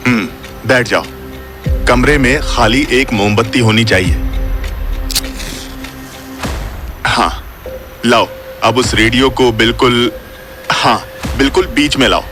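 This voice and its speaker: A man's voice: medium pitch, unhurried, long pauses, music in the background, natural voice. A man playing a serious father who instructs his son about occult dangers in an audio horror drama. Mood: commanding.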